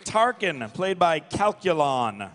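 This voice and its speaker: A man's voice: on the high side, big pitch swings, slow, a bit bright, slight background noise. A man moderating a panel, announcing a cast to a live audience into a table microphone on stage.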